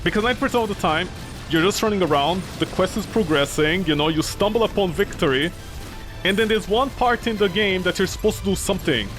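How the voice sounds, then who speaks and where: A non-native English-speaking man rambles through a game stream, swearing freely and swinging between loud outbursts and mumbled asides. His voice sits high for a man. Loud game music plays under his talk. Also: lively intonation, quick pace. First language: not English; grew up with French, Italian. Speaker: gaming streamer. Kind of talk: casual let's-play commentary.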